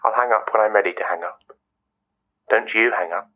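An actor playing a wistful, controlling, quietly menacing man in a radio play, speaking in measured, fragmented phrases. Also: lowish voice, narrow, tinny audio, natural voice. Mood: coolly controlling. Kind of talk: radio play monologue and dialogue.

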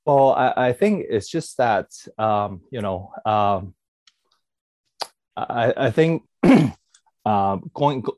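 Man, low voice, hesitant, lots of ums and restarts, dry close sound. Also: animated, very slow, darkish tone, dry recording. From born in Taiwan, raised in Canada. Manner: hesitant, many fillers and restarts. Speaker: podcast guest, food company executive. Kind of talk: casual interview answers.

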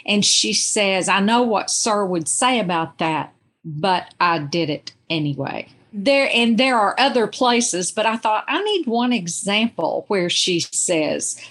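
Female interview guest, mid-pitched, unhurried, thinking carefully as she discusses fiction. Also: lively intonation, fairly bright tone. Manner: thoughtful, reflective, deliberate. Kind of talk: conversational literary discussion.

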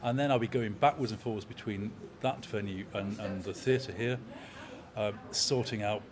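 Guy in his 60s chatting about his job, steady and calm, lots of people talking in the background. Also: on the low side, moderate pace, a crowd in the background. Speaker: opera company manager. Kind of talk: interview.

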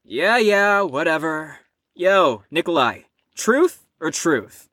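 A woman's voice: low-pitched, big pitch swings, takes it slowly, a bit bright, no room sound, studio quality, exaggerated voice. A woman playing a brash, rebellious young slacker in an audio drama, teasing and sarcastic in slangy speech. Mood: mocking.

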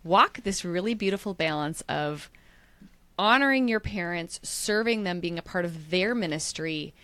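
Lady host asking questions, chatty, low voice, dry. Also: lively intonation, moderate pace, a bit bright, dry recording. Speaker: podcast host. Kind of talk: interview host.

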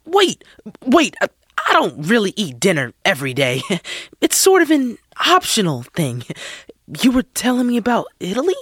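An actress playing an eager, flustered listener in an audio comedy sketch, halting and awkwardly coaxing. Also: female voice, a little high, huge pitch swings, fairly bright tone, husky, dry recording, studio quality, full character voice. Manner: halting, stammering, hinting awkwardly. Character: eager, flustered listener hungry for salacious stories.

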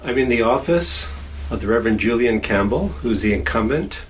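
A man hosting an interview in a church office, asking friendly questions with a light, gently joking touch. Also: mid-range voice, lively intonation, normal speed, a little hiss or hum, poor audio. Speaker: interviewer, radio host.

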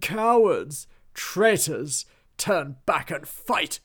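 A man voicing a pompous male duke who shouts in rage at his fleeing army. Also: fairly high pitch, sing-song, very slow, breathy edge, pitched above the performer's own voice, full character voice. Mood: furious. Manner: shouting, blustering.

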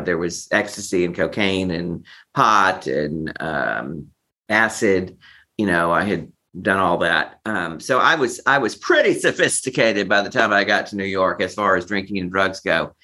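A man's voice: low-pitched, normal speed, sharp tone. A man in his fifties from Texas, telling his personal story candidly and reflectively as an interview guest. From Texas, United States. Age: 50s.

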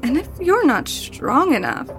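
A woman's voice: high voice, very animated, measured pace, music in the background, exaggerated voice. A woman playing a seductive, playfully cruel female spirit in an audio horror drama, teasing and sinister, sometimes dropping toward a whisper. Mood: scornful, commanding.

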